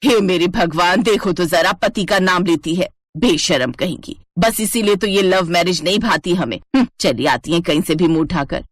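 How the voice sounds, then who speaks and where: A woman voicing a sharp-tongued middle-aged Indian mother-in-law in a cartoon family drama, taunting and dramatic. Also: lowish voice, moderate pace, hardly any pauses, dry recording, clean studio sound, close to an everyday voice. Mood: scornful.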